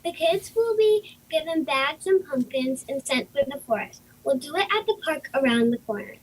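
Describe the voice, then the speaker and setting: Woman playing a high-voiced bossy little girl. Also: expressive, moderate pace, rough recording. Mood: bossy, brisk. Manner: commanding, matter-of-fact. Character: bossy, precocious nine-year-old girl planning a party. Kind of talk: amateur audio play.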